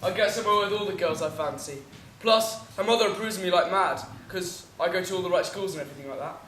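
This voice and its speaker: A smug, boastful privileged teenage lad, rattling along in a high-pitched voice. He is slightly off-mic in an echoey room. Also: quick pace, fairly bright tone. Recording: echoey room, distant, faint noise.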